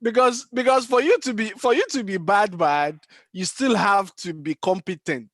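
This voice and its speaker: A man's voice: high voice, normal speed, a bit bright, no room sound. A man speaking conversationally in a discussion.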